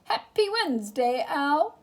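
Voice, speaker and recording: A woman voicing a gentle, honey-loving male bear in a children's story, with a soft, hesitant delivery. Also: high voice, very animated, a little slow, voice pushed up, full character voice.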